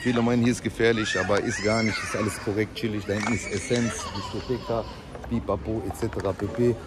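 A man's voice: on the low side, fairly even intonation, quick pace, very noisy. A man in his thirties vlogging casually to a handheld camera, chatty and joking with his viewers. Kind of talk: casual vlog narration to camera. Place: hair salon and city street. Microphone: handheld camera at arm's length.

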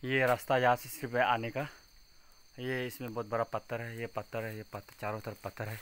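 Man, Northeast Indian accent, halting simple phrasing, mid-pitched, little pitch variation, casual outdoor vlog narration, handheld phone. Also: monotone, a bit bright, smooth. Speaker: vlogger exploring a cave. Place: outdoors at a forested cave mouth.

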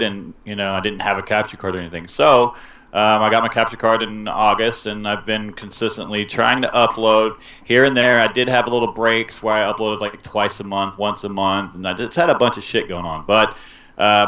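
A man with a fairly low voice talks loosely and candidly as a guest on a casual online interview. He trails off now and then and swears without fuss. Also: a bit bright.

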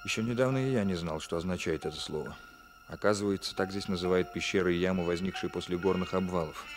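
Deep, brisk, grave investigator played by a man. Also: natural voice. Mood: grave, reflective. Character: grave male investigator recounting a grim case. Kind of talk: radio drama narration, Soviet. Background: music.

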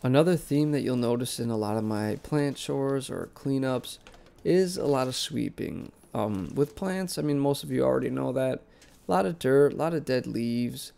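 Young male vlog host with a head cold, nasal and gravelly, relaxed rambling delivery. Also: mid-range voice, normal speed. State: congested, sick and nasal. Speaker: plant channel host. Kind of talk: casual voice-over vlog narration. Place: basement plant room.

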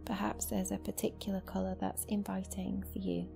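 A woman guiding a meditation as a yoga nidra teacher, speaking slowly and gently in a soft, soothing voice with long pauses. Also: medium pitch, flat delivery, vocal fry. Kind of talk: guided meditation. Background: new-age music.

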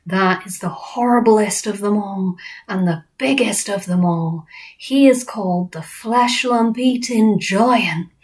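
A woman's voice: mid-range voice, slow, close to an everyday voice. A woman voicing a kindly, eccentric male giant in a storybook, nervous, with quaint muddled grammar and invented words. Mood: hushed, wary.

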